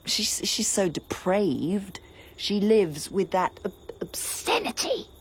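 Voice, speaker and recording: Actress as a grieving vengeful princess, bitter lament, swooping pitch, slightly husky tone. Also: female voice, very animated, slow, exaggerated voice. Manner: impassioned, bitter lament with whispered passages. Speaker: stage actor. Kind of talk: classical tragedy stage acting.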